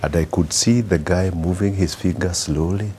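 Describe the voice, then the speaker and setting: A middle-aged man from Kenya with a Kenyan accent, guest on an interview podcast, telling anecdotes slowly with many fillers and restarts. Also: deep voice, warm tone, slight background noise. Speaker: guest executive.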